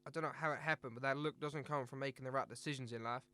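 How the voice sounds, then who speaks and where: A man playing a calm rehab centre worker in a radio drama, matter-of-fact and gently insistent. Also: medium pitch, fast talker, close to an everyday voice. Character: calm, firm rehab center worker leading group sessions.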